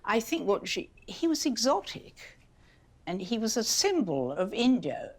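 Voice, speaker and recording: A woman's voice: on the high side, big pitch swings, a little slow, a little mellow. A woman, conversationally explaining history as an interviewed expert.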